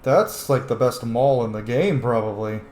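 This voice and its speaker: A man's voice: medium pitch, moderate pace, a little mellow. A man giving casual let's play commentary, with muttered asides to himself and casual swearing. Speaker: host of a game roleplay video series. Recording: roomy sound with faint background noise.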